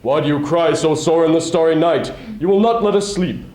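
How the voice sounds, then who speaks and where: A man's voice: fairly high pitch, brisk, darkish tone, lightly acted. A man voicing a sleep-deprived neighboring Cyclops in a dramatic poetry recitation, calling out in a declamatory way. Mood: annoyed. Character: band of neighboring male Cyclopes, gruff, sleep-deprived giants. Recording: echoey hall recording.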